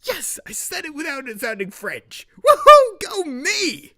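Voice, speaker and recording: Bright-voiced man chatting between takes. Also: very high voice, wildly swooping pitch, measured pace. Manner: self-critical, joking asides. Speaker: amateur voice actor recording lines. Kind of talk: casual self-commentary between takes.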